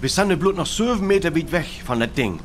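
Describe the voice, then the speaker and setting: A man's voice: fairly high pitch, animated, fast talker, slight background noise, natural voice. A man playing an urgent, probing radio reporter giving a dramatic live report in a radio play. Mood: tense, curious. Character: excitable male radio reporter at a crash site.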